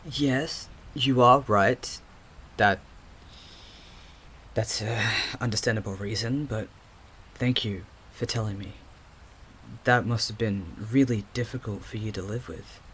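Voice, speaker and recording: A man's voice: fairly low pitch, slow pace, long pauses, a bit bright, slight background noise. A man acting a hesitant young man with fractured memories in an audio drama, stammering and thinking aloud. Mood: sorry, gentle. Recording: music under the voice.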